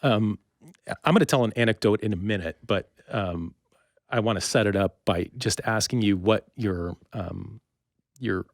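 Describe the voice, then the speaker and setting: A man hosting a casual interview, phrasing his questions in a halting, roundabout way. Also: fairly low pitch, creaky, no room sound, studio quality. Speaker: podcast host, interviewer.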